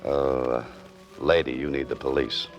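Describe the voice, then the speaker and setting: A man's voice: very deep voice, little pitch movement, unhurried. An American man playing a wry, easygoing writer-adventurer in a 1940s radio detective drama, with laconic, hesitant asides. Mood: wry, reluctant. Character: wry, easygoing male writer-adventurer narrating his own case. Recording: dull old radio recording with music.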